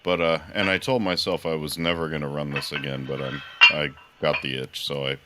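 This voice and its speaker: A man with an extremely deep voice chatting on a podcast panel, hedging and hesitating as he talks. Clear background noise runs under his home-call audio. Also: little pitch movement, a little fast. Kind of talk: casual roundtable chat.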